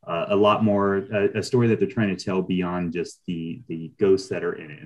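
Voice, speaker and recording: Low male voice, middle-aged, casual interview guest, writer, video call. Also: a little mellow. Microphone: computer video call.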